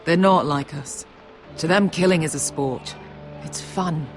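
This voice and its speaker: An actor playing a cautious, worldly fighter in a game drama, wry and cautioning. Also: male voice, a little high, measured pace, soft background music, lightly acted. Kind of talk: video game drama acting.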